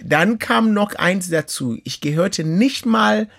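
A middle-aged man from Kenya, a guest in an interview, telling his life story in an earnest, measured and reflective way. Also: sits high, gravelly, a bit bright. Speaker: interview guest, author and teacher.

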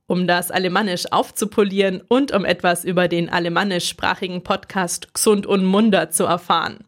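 A woman reporter reading scripted radio feature narration in a clear, measured way. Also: on the low side, steady tone.

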